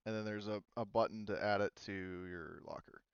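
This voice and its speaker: A man acting as game master in a casual online tabletop session, explaining rules haltingly as he goes. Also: fairly low pitch, steady tone, smooth, no room sound, dull audio.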